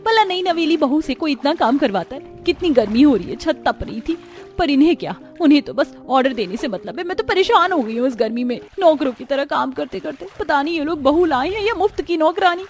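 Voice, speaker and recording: A woman's voice: high-pitched, animated, brisk, darkish tone, faint music behind, voice pushed up. A woman voicing an overworked, complaining young daughter-in-law in a cartoon story, arguing emphatically. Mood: exasperated.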